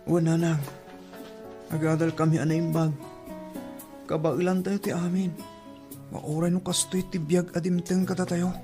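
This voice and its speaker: An actor playing a dutiful young student and elder brother in a radio drama, earnest and subdued, with a creaky, mellow voice. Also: medium pitch, little pitch movement, normal speed, long pauses, soft background music, natural voice. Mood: earnest gratitude. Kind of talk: radio drama acting in Ilocano.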